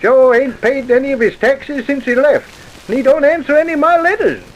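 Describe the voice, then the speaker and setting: A man's voice: extremely high pitch, brisk. A man playing a folksy, worried older rural American tax collector in a radio drama, with a hesitant country way of talking. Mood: puzzled, concerned. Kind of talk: old-time radio drama acting. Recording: dull, hissy vintage radio recording.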